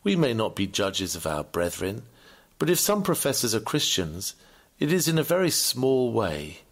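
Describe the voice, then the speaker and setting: Low-pitched man reading a sermon. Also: very animated, normal speed. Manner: earnest, formal, oratorical. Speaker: reader of a classic sermon. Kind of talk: scripted sermon reading, preacher-like delivery.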